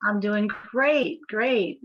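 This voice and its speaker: A woman's voice: mid-range voice, lively intonation, drawn-out words, dull audio. A woman caller with a light Southern accent mixed with New Jersey, asking earnest, slightly hesitant questions. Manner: earnest, a bit hesitant, repeats words. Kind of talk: call-in question.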